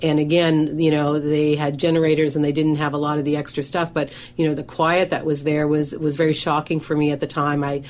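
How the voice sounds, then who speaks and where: A woman's voice: low-pitched, flat delivery, fairly quick, hardly any pauses, darkish tone, slight background noise. A middle-aged woman, a professional organizer and coach, talking on a radio show with a big voice that carries and lots of "you know" fillers. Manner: says she has a big voice that carries; conversational, many fillers and restarts.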